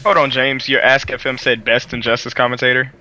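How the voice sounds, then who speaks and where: Bright joking man on a stream call. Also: medium pitch, little pitch movement, fairly quick, poor audio. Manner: joking, profane, laughs often. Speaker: podcast co-host. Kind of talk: casual gaming chat.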